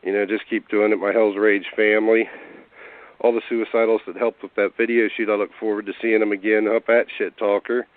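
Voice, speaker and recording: Hoarse man on a tinny phone line. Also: on the low side, level tone, fairly quick, no room sound. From Cincinnati area. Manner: laid-back, rambling with fillers. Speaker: guest rapper. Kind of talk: casual interview over phone.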